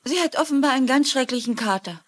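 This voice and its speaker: An actress playing a gossipy, disapproving household servant in a dubbed TV drama. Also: female voice, high-pitched, expressive, quick pace.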